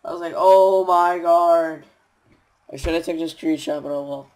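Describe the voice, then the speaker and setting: A young man with a raspy voice and a stuffy nose, rambling tiredly with many fillers as he comments on his gameplay. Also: a little high, fairly even intonation, moderate pace, darkish tone, rough recording. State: stuffy nose, sore throat.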